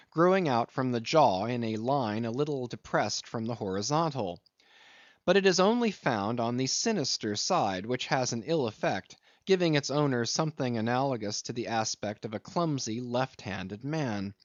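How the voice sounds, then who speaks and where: A man reading a literary audiobook in a measured, expressive way with deliberate phrasing. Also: medium pitch, no echo, dull audio. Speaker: audiobook narrator.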